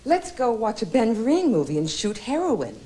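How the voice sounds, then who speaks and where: A woman's voice: a little high, huge pitch swings, moderate pace, dark tone, slight background noise. An actress playing an indignant, sarcastic wife standing by her husband in a comedy film.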